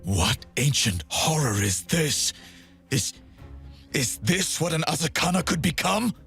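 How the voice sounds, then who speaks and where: A man voicing a grim, solemn undead swordsman from a video game, speaking in a measured, dramatic way over music. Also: on the high side, very animated, vocal fry, fairly bright tone, exaggerated voice. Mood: wary dread. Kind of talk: video game character voice acting.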